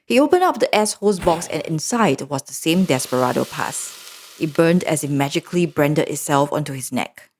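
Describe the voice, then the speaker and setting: Brief: woman narrator, mid pitch, lively and expressive. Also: normal speed. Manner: expressive, animated storytelling. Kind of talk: storybook recap narration.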